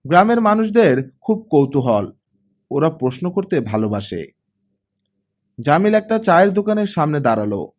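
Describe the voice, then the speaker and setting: A man's voice: fairly high pitch, big pitch swings, moderate pace, pauses often, a little mellow. A man narrating a scripted story expressively as an audiobook reader.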